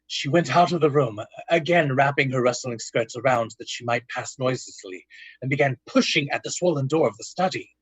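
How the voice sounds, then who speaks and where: Male narrator, husky voice, dramatic scripted delivery, old-time radio style, dry sound. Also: medium pitch, fairly quick, no echo, rough recording.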